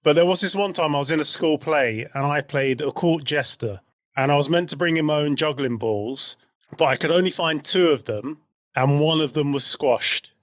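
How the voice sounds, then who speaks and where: Male co-host, slightly high voice, chatty and easily amused, recorded dry. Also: normal speed, a bit bright, dry recording. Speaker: podcast co-host. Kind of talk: casual chat.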